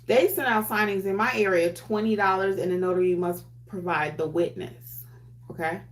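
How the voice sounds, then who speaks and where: A woman hosting a livestream in casual, candid chat. She speaks softly, with her quiet voice on, and uses easy slang as she answers viewer comments. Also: mid-range voice, normal speed. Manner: candid and chatty, with colloquial slang. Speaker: notary trainer hosting a livestream.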